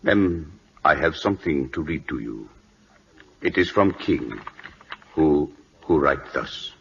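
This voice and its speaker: Very deep, solemn court official. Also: male voice, expressive, breathy edge, exaggerated voice. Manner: deferential, halting broken English. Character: solemn, loyal Siamese court official, deferential and grave. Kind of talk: old-time radio drama acting. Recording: muffled vintage radio broadcast.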